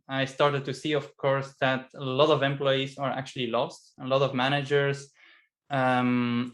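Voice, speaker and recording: A man, a business coach speaking as a guest on a video call from home, thoughtful and often pausing with fillers and restarts. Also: medium pitch, a bit bright. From Belgium. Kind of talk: casual interview chat. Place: home in Vienna.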